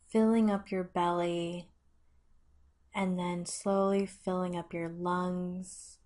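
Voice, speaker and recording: A woman with a slightly low voice leads a guided meditation. She speaks very slowly, giving measured instructions and leaving long pauses between her phrases. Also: darkish tone.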